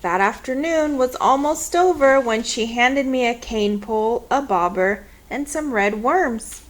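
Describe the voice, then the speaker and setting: A woman reading a children's story aloud in an expressive, measured storytelling manner. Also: on the high side. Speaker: storybook reader.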